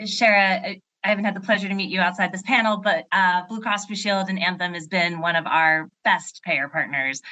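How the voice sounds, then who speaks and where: Bright-voiced woman on a business panel. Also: on the low side, low-quality recording. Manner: fluent, businesslike, many fillers. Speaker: panelist, surgery center executive. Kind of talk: panel discussion.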